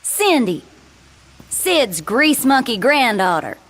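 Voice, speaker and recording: Perky southern girl mechanic, high voice, slow bouncy drawl, game character. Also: sing-song, a bit bright, full character voice. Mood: perky, teasing. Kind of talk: video game voice acting.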